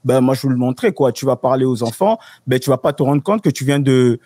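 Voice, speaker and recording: A man's voice: medium pitch, lively intonation, a little fast, warm tone. A man in a casual studio debate, speaking emphatically and slipping English phrases into his talk. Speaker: panel guest.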